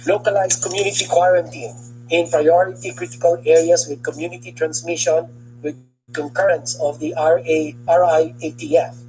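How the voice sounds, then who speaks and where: A government official with a Filipino accent reads a formal briefing from a document, enunciating lists deliberately. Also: medium pitch, fairly even intonation, darkish tone, rough recording. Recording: clear background noise and hum.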